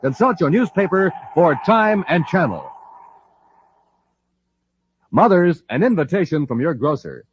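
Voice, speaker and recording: A man's voice: a little high, sing-song, measured pace, long pauses. A male radio announcer with a dramatic, theatrical delivery in the style of a 1950s adventure serial. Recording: dull, noisy old radio transcription.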